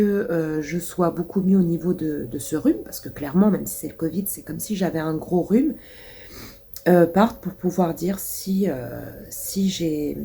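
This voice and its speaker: Casting woman in her thirties, congested and coughing, low mellow voice, rambling vlog chat. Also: vocal fry, a little hiss or hum. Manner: rambling, many hesitations. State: congested, stuffy nose. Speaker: vlogger.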